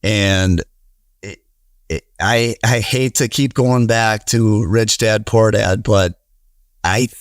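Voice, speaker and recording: A man in his thirties with a Wisconsin accent, speaking as an earnest podcast guest. His voice sits fairly low, and he rambles, restarting sentences and filling gaps with "you know." The sound is close and dry, with no room echo. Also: steady tone, slow. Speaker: podcast guest, real estate investor. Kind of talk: casual conversational interview.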